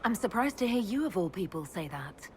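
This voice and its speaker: Direct, expressive elf mage woman, an actress's role. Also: mid-range voice, fairly quick, in a large hall, a little hiss or hum, natural voice. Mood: questioning, direct. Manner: direct, questioning, composed. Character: young female elf mage, leader of an army. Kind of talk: dramatic video game dialogue.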